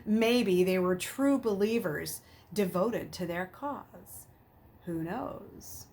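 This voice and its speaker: A woman voicing a shrewd male double agent in a storybook, with a measured, reasoning manner. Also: mid-range voice, a little mellow, slight background noise. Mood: bitter.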